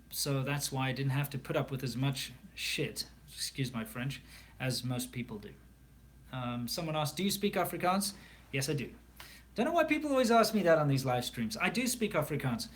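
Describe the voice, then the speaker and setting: Chatty South African man streaming. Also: a little high. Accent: South African English. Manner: chatty, frequent ums and repeated words. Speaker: live stream host. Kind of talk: casual live stream chat answering questions.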